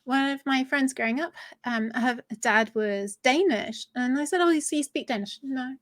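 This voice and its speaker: A woman's voice: high voice, moderate pace. A woman hosting a developer livestream, chatting in a playful, bubbly way. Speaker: host. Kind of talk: casual chat on a livestream.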